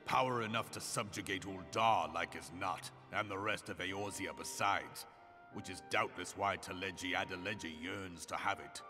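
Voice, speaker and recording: Guy doing a gruff shrewd fantasy commander, low voice, grave archaic talk, game cutscene music behind. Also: lightly acted. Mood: grave, foreboding. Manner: grave, deliberate, archaic speech. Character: gruff, world-weary, shrewd male military leader of fantasy realm. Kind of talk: dramatic scripted game cutscene acting.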